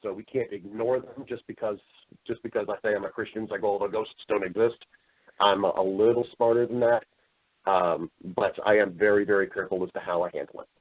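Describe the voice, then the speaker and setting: A man's voice: fairly low pitch, steady tone, a little fast, phone-quality sound. A man speaking as an interview guest, explaining his views in an earnest, emphatic, conversational way. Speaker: voice-over artist and storyteller.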